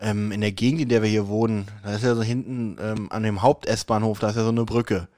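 A man's voice: on the low side, steady tone, a little fast, dark tone, no echo. A man co-hosting a podcast in casual chat, joking in a dry, tongue-in-cheek way. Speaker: podcast co-host.

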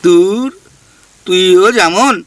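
A man's voice: high voice, drawn-out words, fairly bright tone, no echo, heavily acted. A man voicing a mischievous male ghost in a radio play, who gossips slyly and needles the listener. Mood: teasing. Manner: sly, needling, spills secrets.

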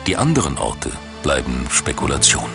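A man with a very low-pitched voice narrates a documentary from a script. Music plays clearly behind his voice. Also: huge pitch swings, normal speed.

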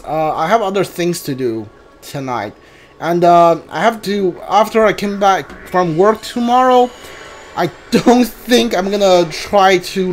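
A man chatting casually on a live game stream, halting and often repeating himself as he talks. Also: sits high.

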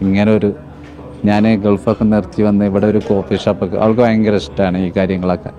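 A man in his thirties interviewed inside his new restaurant, speaking slowly and haltingly with quiet sorrow into a handheld mic. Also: on the low side, little pitch movement, faint music behind. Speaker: interviewee, cafe owner. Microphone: handheld reporter mic.

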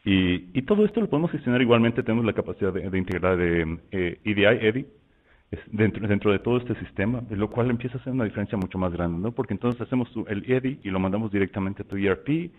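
A man from Mexico with a Mexican Spanish accent, walking through software in a loose, conversational webinar style full of fillers and restarts. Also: on the low side, expressive, fast talker. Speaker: software presenter. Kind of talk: webinar product walkthrough. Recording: tinny phone-like line.

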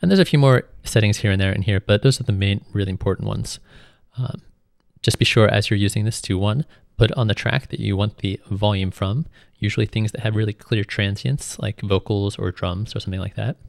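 A man's voice: on the low side, a little fast, creaky, no echo, clean studio sound. A man giving an informal, step-by-step tutorial voice-over as a music production instructor. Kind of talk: screen tutorial narration, explanatory.

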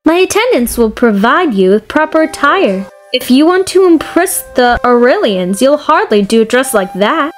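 Woman playing a high-pitched, gushing young noblewoman. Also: sing-song, normal speed, hardly any pauses. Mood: gracious, playful. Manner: gushing, playful, theatrical. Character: vivacious, gossip-loving young noblewoman ruling a wealthy city. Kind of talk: fan dub voice acting of a fantasy story game. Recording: music behind the voice.

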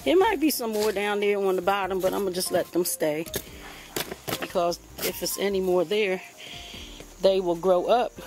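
A woman's voice: medium pitch, normal speed, over music. A woman chatting casually while she films her backyard garden and her indoor plants on a handheld phone, in a rambling, homey way. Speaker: vlogger showing her garden. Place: backyard outdoors, then indoors by a window.

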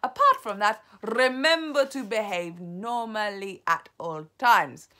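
A woman voicing an imperious, venomous witch who commands her coven in a shouting, domineering storybook delivery with drawn-out emphasis. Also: medium pitch, voice pushed up. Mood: imperious, commanding.

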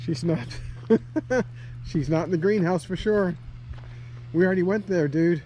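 A man's voice: sits high, measured pace, warm tone, muffled recording, a little hiss or hum. A man chatting casually and coaxingly to a dog as he films a vlog outdoors. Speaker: vlogger. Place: outdoors on a rural homestead.

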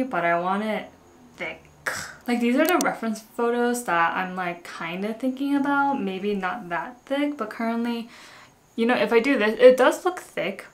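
A woman in her twenties talks casually to a handheld camera, chatty and self-deprecating. She laughs easily, and her voice sits at a middling pitch. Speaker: lifestyle vlogger. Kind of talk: casual vlog chat to camera.

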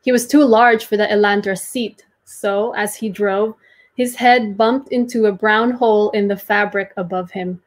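A young adult woman giving a dramatic reading of first-person prose in a measured, deliberate storytelling manner, recorded indoors at home. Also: medium pitch, fairly even intonation. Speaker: performer reading a literary piece. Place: small domestic room, indoors.

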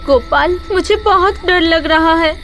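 A woman voicing a timid young village wife in an animated story. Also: extremely high pitch, unhurried, soft background music. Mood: frightened.

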